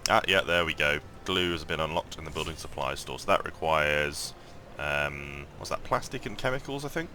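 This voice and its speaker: A man with a croaky morning voice giving casual gameplay commentary, thinking aloud and rambling with lots of ums and restarts. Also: very deep voice, animated, normal speed, bright, forceful tone, slight background noise. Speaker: mobile game let's-play host.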